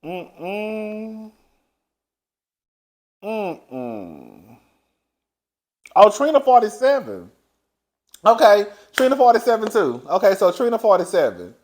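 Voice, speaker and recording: A man in his thirties hosting a gossip vlog, chatty and animated, laughing often as he talks. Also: high voice, slow pace, long pauses. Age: 30s. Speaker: host.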